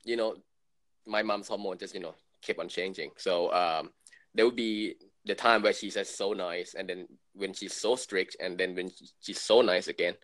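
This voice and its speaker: A man in his late twenties, from Thailand, co-hosting a podcast in relaxed chat full of fillers and laughs. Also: low-pitched, normal speed. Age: 20s. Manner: loose, laughing, lots of fillers. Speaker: podcast co-host. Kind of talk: casual chat.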